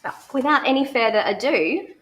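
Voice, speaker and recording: A woman's voice: high voice, expressive, brisk, fairly bright tone. A woman hosting a children's story time in an encouraging, effusive way. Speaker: children's show host.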